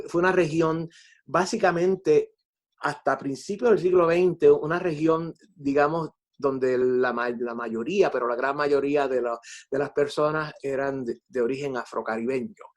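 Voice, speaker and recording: An older man with a Puerto Rican Spanish accent, a composer reflecting in an interview, haltingly repeating words as he explains. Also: on the high side. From Puerto Rico.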